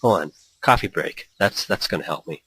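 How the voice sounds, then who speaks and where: Deep, husky-voiced man narrating a let's play. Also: animated, moderate pace, bright, forceful tone, faint music behind. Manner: playful, rambling, stumbles over read lines. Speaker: let's play host reading a visual novel aloud. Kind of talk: gaming let's play, reading story text with casual asides.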